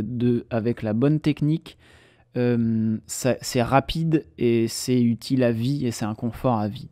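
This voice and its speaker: A man's voice: mid-range voice, mellow, no echo, studio quality. A man hosting a solo podcast, explaining casually with many hesitations and fillers. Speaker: podcast host.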